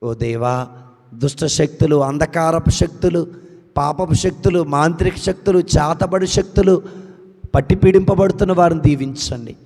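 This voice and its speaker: A man's voice: fairly high pitch, moderate pace, gravelly, a little mellow. A man leading an intercessory prayer, reciting long lists in a rising and falling cadence. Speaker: prayer leader. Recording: long hall reverb.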